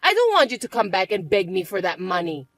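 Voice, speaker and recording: A woman voicing a spoiled young stepsister who taunts and bosses in a shrill, mocking way. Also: sing-song, fairly quick, a bit bright, dry recording, clean studio sound. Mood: taunting.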